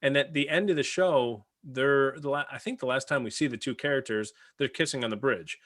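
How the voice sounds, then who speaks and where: A man co-hosting a casual review discussion, talking in a halting but emphatic, conversational way. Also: medium pitch, a bit bright, no echo, clean studio sound. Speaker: podcast host.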